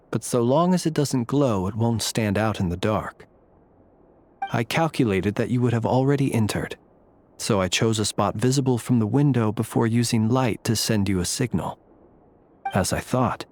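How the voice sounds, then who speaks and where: A man playing a composed, analytical, enigmatic warrior in a video game, speaking in a measured, formal, solemn manner. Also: mid-range voice, slight background noise, natural voice. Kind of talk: video game character acting. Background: music.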